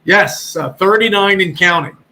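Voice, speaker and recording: A man's voice: high-pitched, moderate pace, pushed and bright. A man, a former radio broadcaster talking as a guest in a rambling, chatty way. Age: 60s. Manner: rambling, chatty, many restarts. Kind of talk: casual chat on a call-in show.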